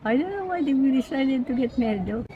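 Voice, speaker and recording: A woman in her nineties from the Philippines, speaking with a Filipino accent and Pampango as her first language, rambling gently and laughing easily as she recalls her life. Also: high voice, expressive, fast talker. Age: 90s. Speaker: interviewee. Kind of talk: reminiscing in casual chat. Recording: lo-fi with background noise.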